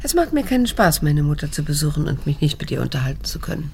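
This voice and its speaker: An actress playing a bitter, weary middle-aged daughter in a radio drama, restrained and measured in dialogue and inner monologue. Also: female voice, low voice, very animated, vocal fry, darkish tone, a little hiss or hum, full character voice. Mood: cool, testing. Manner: restrained, measured, cool, introspective. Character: bitter, weary grown daughter, probing and self-controlled.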